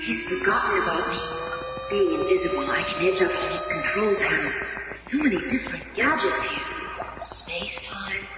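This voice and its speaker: Echoing, stealthy invisible heroine. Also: female voice, mid-range voice, lively intonation, normal speed. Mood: tense. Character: stealthy invisible heroine thinking to herself, tense. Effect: heavy reverb for thoughts. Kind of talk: radio drama acting. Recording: echoing reverb, muffled, loud music behind. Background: loud music.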